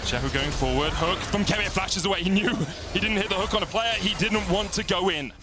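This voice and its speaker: A man casting esports play-by-play, rattling off the action and building excitement on big plays. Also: high voice, fairly quick, noisy background. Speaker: play-by-play caster. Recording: loud game music behind.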